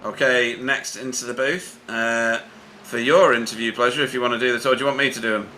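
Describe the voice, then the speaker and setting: A man working as a sim racing commentator, chatting casually and haltingly as he interviews drivers over online voice chat. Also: mid-range voice, steady tone, bright, forceful tone, a little hiss or hum, low-quality recording. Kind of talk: casual post-race interview.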